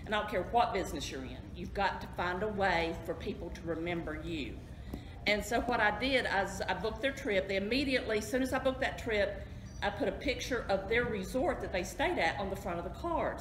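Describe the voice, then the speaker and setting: A woman's voice: mid-range voice, expressive, brisk. A middle-aged woman, a travel agent, giving a friendly, self-deprecating talk to an audience in a hotel meeting room. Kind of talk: informal presentation to an audience. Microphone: far camera, no mic. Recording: echoey room, noisy.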